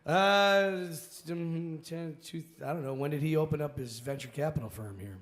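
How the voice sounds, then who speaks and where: A man's voice: a little high, normal speed, a bit bright. A man guesting on a talk show, chatting easily and introducing his band. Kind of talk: casual chat.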